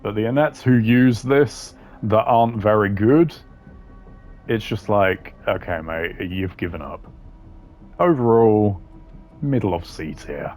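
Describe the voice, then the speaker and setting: A man's voice: on the low side, slow pace, darkish tone, faint music behind. A man giving casual, slangy tier-list commentary in a blunt, opinionated way. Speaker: commentator ranking game voice lines.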